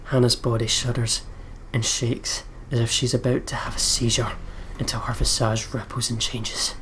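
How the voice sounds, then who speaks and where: A man reading visual novel narration aloud as a let's player, dramatically, dropping to a hush at phrase ends. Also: medium pitch, little pitch movement, normal speed, a little hiss or hum, rough recording.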